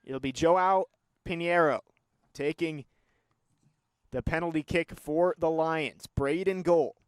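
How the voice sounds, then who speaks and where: Higher-voiced young male sports play-by-play commentator. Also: smooth, no echo. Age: young adult. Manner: measured description rising to excited calls.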